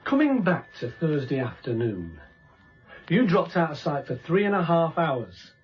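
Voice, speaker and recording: Young policeman, fairly high voice, animated pitch, formal, dutiful, radio drama, muffled sound. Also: unhurried, soft background music. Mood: formal, pressing. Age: young adult. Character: dutiful, dry young male junior detective. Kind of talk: naturalistic radio drama acting. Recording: dull lo-fi radio recording.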